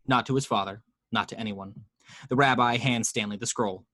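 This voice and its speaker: A man's voice: mid-range voice, normal speed, pushed and bright, no room sound. A man narrating the action lines at a home table read, with chatty asides to the listeners.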